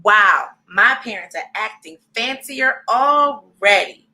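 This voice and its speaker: An animated, encouraging woman hosting a storybook read-aloud, speaking directly to children in an expressive way. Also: medium pitch, slow pace.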